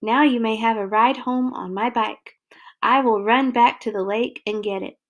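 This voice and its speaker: Woman reading as an earnest, excitable young storybook boy. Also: on the high side, moderate pace, muffled recording, natural voice. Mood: grateful.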